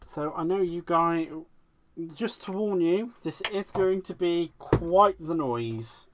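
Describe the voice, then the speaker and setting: A man's voice: sits high, slow, background noise. A man with a West Country accent chats casually and laughs often while he works on a craft project during a livestream. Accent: West Country English. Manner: chatty, self-deprecating, laughs often. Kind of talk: casual live-stream chat while crafting.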